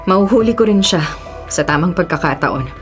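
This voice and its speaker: A woman's voice: lowish voice, expressive, normal speed, music in the background, natural voice. A woman playing a resolute, guarded policewoman and wife in a Filipino radio drama.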